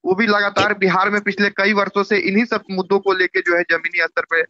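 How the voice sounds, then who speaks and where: Male interviewee, Bihari accent. Tense bright delivery, explanatory and repetitive, over a dry, close phone line. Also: little pitch movement, brisk, dry recording. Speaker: YouTuber. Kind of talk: informal political commentary. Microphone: phone call. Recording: muffled low-bandwidth phone call recording.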